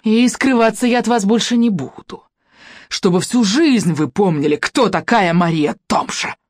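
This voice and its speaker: A narrator doing a coarse, spiteful villainess. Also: female voice, on the high side, lively intonation, a little slow, no room sound, clean studio sound, voice pushed up. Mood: raging. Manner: mocking, shouting in rage. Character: coarse spiteful woman, gloating and vicious.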